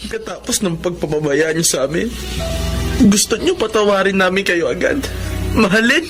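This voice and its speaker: An actor playing a hurt, resentful son in a Filipino radio drama. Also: male voice, sits high, expressive, moderate pace, music in the background. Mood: bitter, accusing. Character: hurt, resentful child confronting absent parents.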